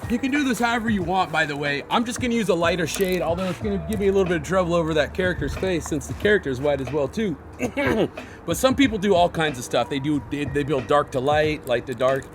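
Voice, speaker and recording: A man hosting a graffiti how-to, his voice hoarse, chatting casually and rambling in slang while he paints outdoors. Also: a little high, fast talker, background noise. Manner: laid-back, rambling, slangy. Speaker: graffiti artist and channel host. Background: hip hop backing beats.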